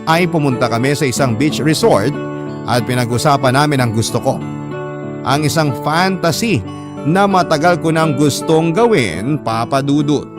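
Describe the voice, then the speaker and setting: Filipino male narrator over prominent music. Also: mid-range voice. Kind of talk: first-person dramatic story narration of a letter.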